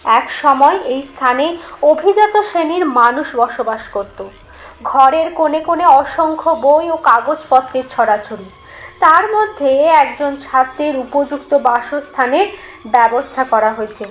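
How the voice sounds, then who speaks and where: A woman reading a scripted eerie story as a narrator, in an expressive, measured storytelling manner. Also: high voice, slight background noise, poor audio. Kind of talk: dramatic scripted narration of a horror tale.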